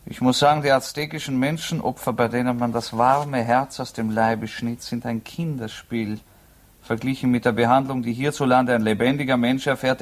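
A man's voice: medium pitch, natural voice. Actor as a defiant, sardonic male prisoner. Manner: curt, ironic, insistent. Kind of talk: 1950s German radio play acting.

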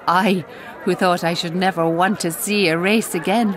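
A woman playing a refined, reflective storyteller, narrating a radio drama in a measured, descriptive manner. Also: fairly low pitch, fairly even intonation, a crowd in the background, noisy background, close to an everyday voice.

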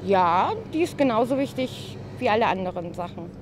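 A woman's voice: medium pitch, animated, normal speed. A young woman answering a street interview outdoors, earnest and polite. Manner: earnest, polite, slightly apologetic. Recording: faint outdoor traffic noise.

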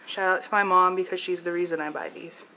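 A woman's voice: fairly low pitch, fairly even intonation, fast, heavy background noise. A young woman vlogging at home, chatting casually and giving blunt, joking opinions while showing her groceries and pantry. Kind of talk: casual chat.

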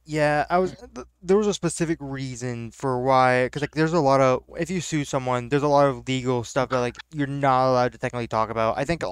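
Smooth-voiced young streamer, rambling. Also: male voice, mid-range voice, a little fast, hardly any pauses, no room sound, clean studio sound. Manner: rambling, repeats himself, curious. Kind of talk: casual chat.

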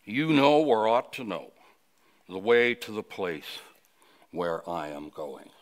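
Low-pitched older man giving a funeral sermon. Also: sing-song, takes it slowly. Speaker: funeral officiant, preacher. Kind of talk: funeral sermon with personal reminiscence. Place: indoors at a funeral home, at a wooden lectern. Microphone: lectern microphone.

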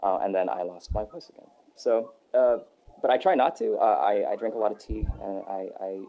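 A young adult man answering interview questions in a relaxed, rambling way, with many fillers and restarts. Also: on the low side, a little fast, lo-fi sound. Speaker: voice actor guest. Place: Texas.

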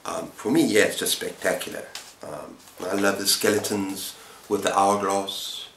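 A middle-aged man talks casually to a camera in the manner of a book vlogger. His voice sits fairly low, and he moves in short, halting phrases. The room adds a clear echo around his words. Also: fairly even intonation, fairly bright tone, slight background noise. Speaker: book vlogger, channel host. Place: book-lined room at home, indoors. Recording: roomy, echoing indoor sound.

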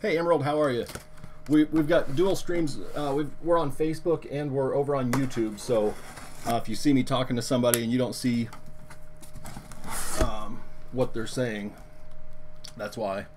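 Hoarse man hosting a stream. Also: mid-range voice, normal speed, pauses often, a little hiss or hum. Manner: relaxed, filler-heavy, talks to chat viewers. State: hoarse, lost his voice. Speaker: card break host. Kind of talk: live stream chat.

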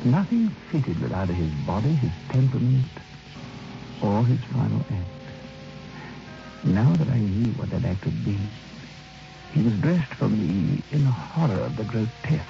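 An actor playing a brooding man who narrates a dark radio drama in grave, literary, measured phrases. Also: on the low side, big pitch swings, gravelly, heavily acted. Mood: horrified. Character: brooding male first-person narrator of a dark tale. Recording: dull old radio recording with music.

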